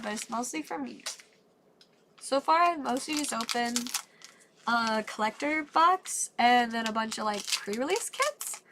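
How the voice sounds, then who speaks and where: A woman's voice: a little high, background noise. A woman chatting on a live stream while opening trading cards, a little congested and still getting her voice back, upbeat and rambling. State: congested, voice not fully recovered. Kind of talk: casual live-stream chat.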